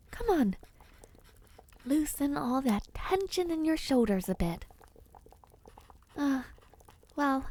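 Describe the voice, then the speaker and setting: A woman playing a kind, dreamy witch in an audio roleplay, soothing and gently formal, easily sidetracked. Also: high-pitched. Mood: gentle reassurance. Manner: gentle, soothing, old-fashioned formal phrasing, easily distracted. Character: kind, dreamy peculiar witch, otherworldly and caring. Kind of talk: audio roleplay addressed to the listener.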